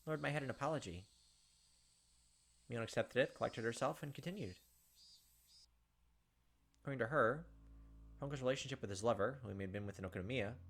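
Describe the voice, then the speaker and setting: A man's voice: mid-range voice, fairly bright tone. A man hosting a gaming video, reading visual novel narration and commenting casually.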